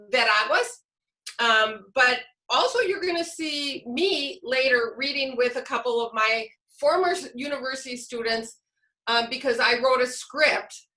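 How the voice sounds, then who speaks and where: A woman's voice: a little high, sharp tone, low-quality recording. A woman presenting informally to teachers as a trainer over a video call, explaining things and posing questions that she then answers. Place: online video meeting. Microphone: video call microphone.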